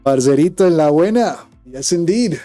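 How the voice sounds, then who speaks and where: A man's voice: fairly high pitch, animated, normal speed, dark tone, faint music behind. A man from Colombia with a Colombian accent, commenting on his trades during a live stream and answering viewer chat in a candid, self-critical way.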